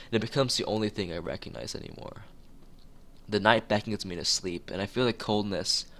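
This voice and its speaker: A man's voice: deep voice, a bit bright. A man streaming a visual novel read-aloud, narrating with casual asides and sometimes stumbling over his words. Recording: music behind the voice.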